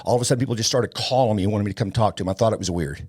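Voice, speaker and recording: A man's voice: fairly low pitch, rapid-fire, darkish tone, husky. A man in his fifties speaking as an interview guest, blunt and impassioned, repeating phrases for emphasis. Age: 50s. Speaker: podcast guest, law enforcement trainer.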